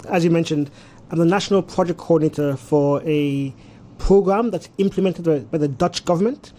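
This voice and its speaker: A man's voice: on the high side, dark tone. A Ghanaian man with an Austrian-tinged English accent, a tech consultant explaining his field in a talk show interview.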